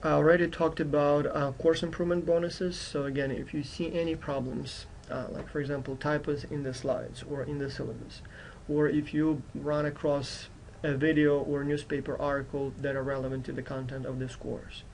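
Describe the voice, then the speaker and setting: Non-native English-speaking male instructor, creaky voice. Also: fairly high pitch, little pitch movement, normal speed, darkish tone, a little hiss or hum, poor audio. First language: not English, which is his fourth language. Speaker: university instructor. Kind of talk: explanatory course introduction lecture. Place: indoors at a computer.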